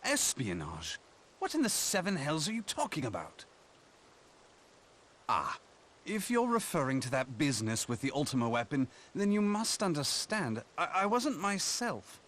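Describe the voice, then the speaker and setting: Flippant game rogue: slightly high voice, swooping intonation, glib and wry. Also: male voice, wildly swooping pitch, moderate pace, a bit bright, a little hiss or hum. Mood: defensive. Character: flippant, wry adventurer rogue under accusation. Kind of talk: video game voice acting.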